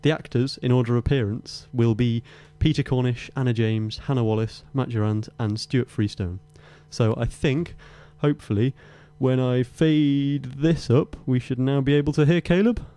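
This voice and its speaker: A man's voice: mid-range voice, normal speed, few pauses, warm tone. A man presenting on radio, making casual live announcements in a hesitant, informal way.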